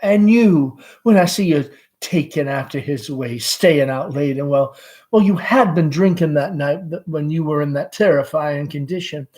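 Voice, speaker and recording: A woman's voice: low voice, normal speed, warm tone, lightly acted. A reader voicing an anxious, dramatic middle-aged mother in a fluttery, stammering, pleading manner, from a stage play. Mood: worried, pleading.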